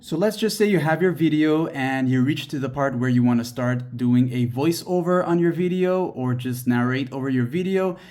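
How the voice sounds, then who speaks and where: A man's voice: medium pitch, moderate pace, few pauses, darkish tone. A man narrating a step-by-step app tutorial as its host, instructing the viewer directly.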